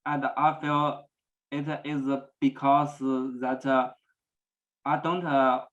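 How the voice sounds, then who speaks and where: Man stuttering thru a talk, slow and flat, kinda dull lo-fi audio. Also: medium pitch. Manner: stuttering with many repetitions and pauses. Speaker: presenter at a speech celebration. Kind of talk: personal talk about stuttering. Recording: dull lo-fi sound.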